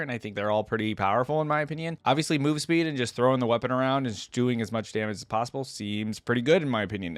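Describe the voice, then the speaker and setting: A man's voice: mid-range voice, dry recording. A man hosting a reaction video, giving casual, upbeat commentary in an informal, appreciative way. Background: music behind the voice.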